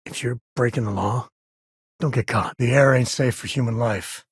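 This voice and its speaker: A man's voice: medium pitch, normal speed, husky, no echo, clean studio sound. An actor playing a wry, good-hearted space explorer and father in a video game, speaking in a casual, folksy way. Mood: easygoing, dry. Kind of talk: video game character dialogue.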